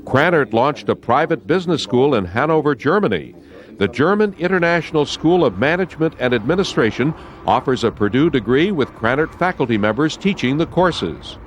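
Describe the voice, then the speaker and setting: A man's voice: fairly high pitch, animated, fairly quick, slight background noise. An American man narrating a university newsreel from a script, as the announcer.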